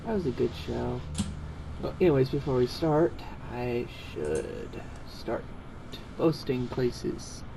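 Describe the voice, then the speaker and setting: Casual stream co-host, unhurried delivery, middling pitch, noisy home recording. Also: gravelly. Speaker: co-host of a fan fiction reading stream. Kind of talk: casual chat.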